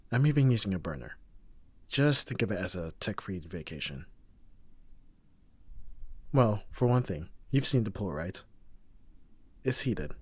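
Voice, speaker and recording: Young male bodyguard played by a man for roleplay audio drama: deep-ish, husky, abrasive, teasing, secretly caring. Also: dry recording. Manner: sardonic, abrasive yet reassuring.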